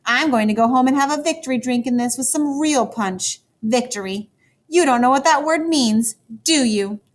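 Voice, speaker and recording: A woman's voice: sits high, moderate pace, voice pushed up, close to an everyday voice. A woman voicing a smug schoolgirl rival in a storybook, sly, mock-sweet and taunting.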